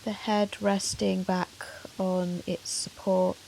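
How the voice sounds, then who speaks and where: A woman yoga teacher leading a guided meditation in a soothing, measured, gentle manner with long pauses. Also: mid-range voice, little pitch movement, vocal fry, poor audio. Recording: soft hiss of rain noise. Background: soft rain sound.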